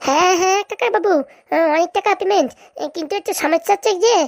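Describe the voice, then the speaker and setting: Squeaky young cartoon matchmaker man. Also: quick pace. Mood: persuasive. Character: chatty young male village matchmaker, cartoon. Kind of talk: comic cartoon dialogue.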